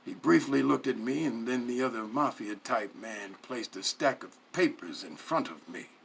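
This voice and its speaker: A man giving a dramatic first-person narration, reading in a theatrical, deliberate way with pauses. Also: mid-range voice, expressive, creaky, a little hiss or hum. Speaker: story reader.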